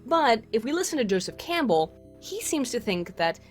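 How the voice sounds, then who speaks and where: A woman's voice: on the high side, big pitch swings, normal speed. A woman narrating a scripted video essay in formal, wordy academic phrasing with wry asides. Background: music.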